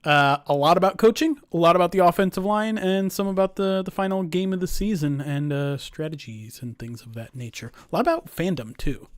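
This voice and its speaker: A man hosting a sports podcast, his voice raspy, chatty and joking at his own expense. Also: sits high, a little fast, hardly any pauses, no echo, studio quality. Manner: chatty, self-mocking, laughs easily. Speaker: podcast host and producer. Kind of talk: casual podcast chat.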